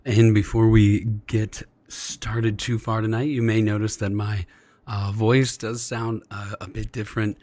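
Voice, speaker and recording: A man hosting a casual livestream, his voice slightly scratchy and subdued as he recovers from losing it, hesitant and often clearing his throat. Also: fairly low pitch, little pitch movement, a little slow, mellow, no echo. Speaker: streamer, host. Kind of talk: casual livestream chat with viewers and fellow players. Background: music.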